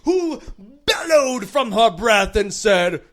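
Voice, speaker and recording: A man's voice: sits high, sing-song, full character voice. A man playing a theatrical, mock-solemn fairy-tale storyteller with old-fashioned grandiose phrasing in a comedy sketch. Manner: mock-archaic, drawn-out grandiose delivery.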